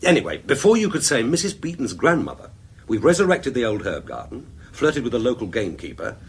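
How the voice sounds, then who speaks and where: An actor playing a wry, grumbling but affectionate British husband in a radio drama, with a husky voice. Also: male voice, medium pitch, sing-song, brisk, exaggerated voice. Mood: wry, amused. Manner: grumbling, mild swearing, British colloquial turns. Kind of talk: naturalistic radio drama acting.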